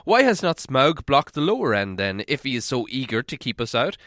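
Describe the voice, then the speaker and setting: A man's voice: mid-range voice, very animated, fairly quick, a bit bright, dry recording, studio quality. A man voicing a proud, declamatory dwarf leader. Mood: challenging. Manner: formal, declamatory, emphatic. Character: proud, formal male dwarf leader, stern. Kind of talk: storybook character voice in audiobook reading.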